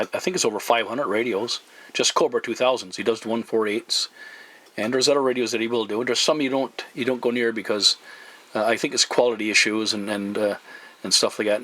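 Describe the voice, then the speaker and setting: A man's voice: on the low side, bright, forceful tone, poor audio. Friendly guy talking on cb radio, polite, repeats himself a bit, casual. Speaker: CB radio operator, YouTube channel host.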